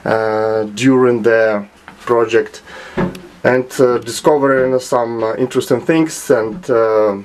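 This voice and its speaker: A man with a Russian accent talks slowly through a hobby project, stopping often to hunt for the next word. His pitch sits at an ordinary middle level, and a faint hum of workshop ambience stays under the voice. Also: fairly even intonation, a little mellow. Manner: halting, many filler sounds and restarts. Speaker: hobbyist restorer. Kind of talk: hesitant show-and-tell narration. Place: small home workshop.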